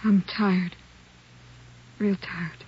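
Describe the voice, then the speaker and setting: A woman's voice: on the low side, steady tone, drawn-out words. An actress playing a weary, downcast working-class woman, hesitant and plain-spoken, in a 1940s radio drama. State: tired. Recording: muffled old radio recording, faint noise.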